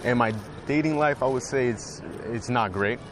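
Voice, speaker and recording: A man's voice: mid-range voice, normal speed, noisy background. Nervous young New guy on a busy street. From New York. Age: young adult. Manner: hesitant, filler-heavy, nervous laughs. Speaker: subscriber approaching strangers. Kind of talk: casual street chat. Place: city street and park, outdoors.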